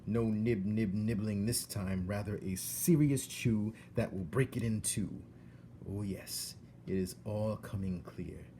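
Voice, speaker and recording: A man voicing a scheming, vengeful rat villain in a storybook, whispering with drawn-out menace. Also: fairly low pitch, dark tone, slight background noise. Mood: sly, vengeful.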